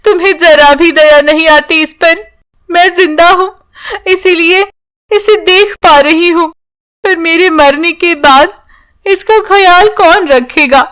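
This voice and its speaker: A woman's voice: very high-pitched, a bit bright, voice pushed up, exaggerated voice. A woman voicing a weary, kind-hearted old grandmother with a pleading, emotional manner in a storybook tale.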